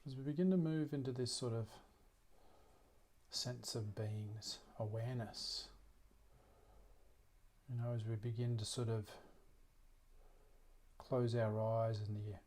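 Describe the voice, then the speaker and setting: A man leading a guided meditation as a teacher, speaking gently and unhurriedly with long pauses. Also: medium pitch, steady tone.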